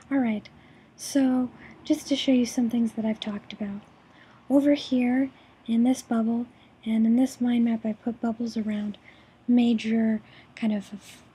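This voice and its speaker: A young woman with a hoarse, raspy voice after throat surgery, presenting her course project over a screen recording with many ums and restarts. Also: fairly high pitch, dark tone, low-quality recording. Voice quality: raspy, breathy.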